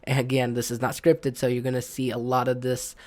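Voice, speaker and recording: Raspy, rambling man with a cold. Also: mid-range voice, completely flat delivery, fairly quick, a little mellow. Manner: rambling, stumbling, filled with ums; looks around rather than at the camera. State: hoarse from illness. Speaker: gaming video host. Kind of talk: unscripted opinion vlog.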